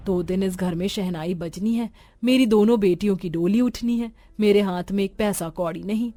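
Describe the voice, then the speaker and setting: A woman's voice: medium pitch, normal speed, warm tone, no room sound, faint music behind, lightly acted. A woman voicing a poor, devout, long-suffering village mother in an earnest, plaintive and tender way. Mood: sorrowful.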